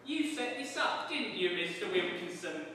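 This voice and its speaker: A glum, meek prisoner and con man from a comic novel, voiced by a man, choosing his words carefully. The voice is rather high and hesitant, distant in a very reverberant hall with clear background noise. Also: expressive, normal speed, sharp tone. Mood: meek disbelief. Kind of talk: dramatic reading of a comic novel. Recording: very echoey hall, distant mic, noisy.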